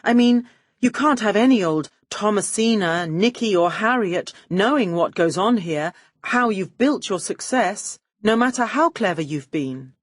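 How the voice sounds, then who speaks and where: A woman playing a sly female detective in an audiobook, feigning sweet helplessness in a coaxing, drawn-out British delivery. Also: medium pitch, expressive, dry recording, studio quality, voice pushed up. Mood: coaxing, fawning.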